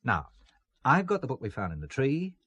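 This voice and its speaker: An actor playing a shrewd, determined amateur investigator in an audio drama, assured and probing with a dry wit. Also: male voice, mid-range voice, huge pitch swings, moderate pace, a bit bright, slightly rough, exaggerated voice. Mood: brisk, purposeful. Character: shrewd, determined amateur investigator, calm and dryly witty man. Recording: faint music behind the voice. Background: faint music.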